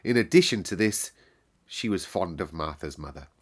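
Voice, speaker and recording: Man reading a storybook aloud, low and lively. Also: husky. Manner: calm, measured storybook narration. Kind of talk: reading a classic novel aloud.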